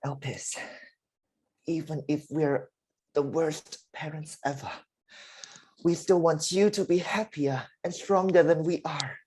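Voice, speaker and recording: Man acting a slow, husky-voiced dying father. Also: a little high, a little mellow. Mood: tender, remorseful. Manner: slow, deliberate, emotive delivery. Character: dying, confined father, weary, pleading and confessional. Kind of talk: staged reading of a play.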